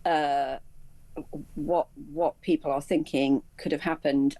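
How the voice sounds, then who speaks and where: A woman speaking as an expert guest in a live news interview, explaining in halting phrases with repeated words. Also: deep voice, dark tone, no echo, low-quality recording. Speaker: aviation and travel commentator, interview guest.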